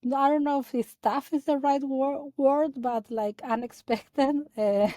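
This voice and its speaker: Woman from Chile, halting and soft. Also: high voice, fairly even intonation, dry recording. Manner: halting, many fillers and restarts. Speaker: guest, data analyst. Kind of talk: casual interview talk.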